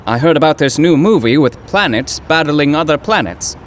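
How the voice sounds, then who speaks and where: An actor playing an earnest, awkward poetry professor, flustered and florid, in old-time radio drama style. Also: male voice, a little high, lively intonation, normal speed, a little hiss or hum, close to an everyday voice. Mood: nervous, eager.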